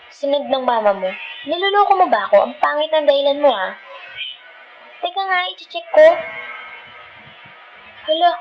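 A woman's voice: fairly high pitch, dull audio. A woman with a Filipino accent playing a feisty, dramatic but loving girlfriend in an ASMR roleplay, sassy and mixing two languages as she talks. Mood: annoyed, then sheepish. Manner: sassy, scolding, switching between Tagalog and English.

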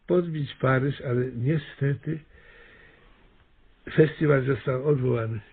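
A man playing a pompous, scheming small-town mayor in a radio comedy, speechifying with hesitant pauses. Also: medium pitch, husky, phone-quality sound. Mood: solemn, grave. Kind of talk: radio comedy drama acting.

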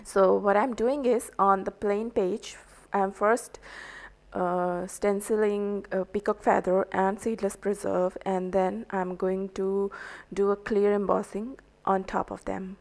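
A woman with an Indian English accent, a native Hindi speaker, narrating an art journaling tutorial in a halting way, full of ums and uhs. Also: medium pitch, flat delivery, vocal fry, darkish tone. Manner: slow, hesitant, many ums and uhs. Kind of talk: crafting tutorial voice-over.

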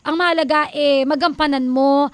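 A woman with a Filipino accent co-hosts a radio advice show, mixing sermon-like encouragement with playful teasing and frequent laughter. Her voice sits high, and the studio sound is dry and close. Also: steady tone, moderate pace, dry recording. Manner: preachy and teasing, quotes scripture, laughs often. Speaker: radio co-host.

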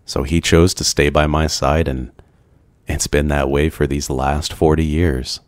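Very deep, slow-talking brooding male vampire. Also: level tone, dry recording. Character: brooding, lonely, ancient male vampire, gentle and courteous. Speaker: roleplay voice performer. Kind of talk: ASMR boyfriend roleplay, scripted.

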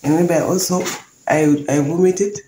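A woman from Namibia speaks joyfully and effusively about her experience. Her voice is mellow, and the room echoes around her with faint noise. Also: deep voice, fairly even intonation, moderate pace. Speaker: prayer testimony giver. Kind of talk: personal testimony.

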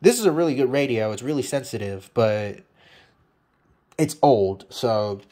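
A man talking casually and off the cuff as a hobbyist repairer, rambling and blunt, swearing freely. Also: mid-range voice. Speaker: hobbyist radio repairer and video maker. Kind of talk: casual, unscripted repair update.

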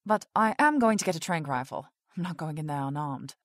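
An actress playing a prim, rule-bound research project director in an audio drama, formal and officious. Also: female voice, low-pitched, expressive, fairly quick, no room sound, studio quality. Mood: urgent, resolute.

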